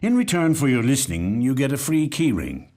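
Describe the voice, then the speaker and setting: A man's voice: medium pitch, sing-song, brisk, darkish tone, lightly acted. Guy doing a wry sardonic salesman in a story, dry persuasive patter, voice moves around a lot. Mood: teasing. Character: sardonic, salesman-like man with buried hurt, swapping stories. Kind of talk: acted dialogue in short story reading.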